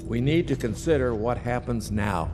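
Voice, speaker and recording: A domineering lawman boss in a crime game acted by a man, mid-pitched and indignant. He bites out emphatic phrases in a reverberant space with music behind. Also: animated, moderate pace, lightly acted. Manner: emphatic, clipped repetition. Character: indignant, domineering male police chief. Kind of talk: cinematic video game acting.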